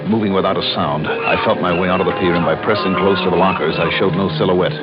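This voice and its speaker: An actor playing a wry, tough insurance investigator in a 1960s radio drama, with clipped, sardonic narration and dialogue. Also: male voice, low-pitched, fairly even intonation, fast, natural voice. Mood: tense. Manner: wry, sardonic, clipped hard-boiled delivery. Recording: dull old radio recording with loud music.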